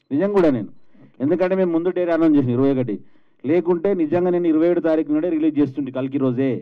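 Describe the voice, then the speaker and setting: A man's voice: fairly high pitch, narrow, tinny audio. A man with a Telangana Telugu accent, a film producer insistently and confidently answering media questions at a press event.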